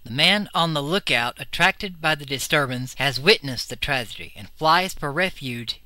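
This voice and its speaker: A male narrator reads a horror tale in a very sharp, forceful voice pitched a little high. His delivery is theatrical and suspenseful, like an intense audiobook performance. The recording is dry and close, with little room sound. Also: expressive, moderate pace, no echo. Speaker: audiobook reader. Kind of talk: dramatic scripted narration of a horror story.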